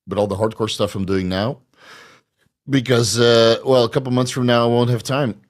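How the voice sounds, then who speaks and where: Hoarse, blunt man cursing casually. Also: medium pitch, steady tone, normal speed. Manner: blunt, profane, joking. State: hoarse, losing his voice. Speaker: podcast host answering viewer questions. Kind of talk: casual live Q&A.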